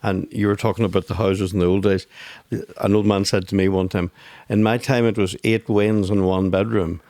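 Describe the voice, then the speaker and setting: A man's voice: fairly low pitch, little pitch movement, normal speed, a little mellow, dry recording. A man in his sixties with a Donegal Irish accent, a guest telling stories in a wry way. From Ireland. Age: 60s. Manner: wry, storytelling. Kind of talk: casual interview.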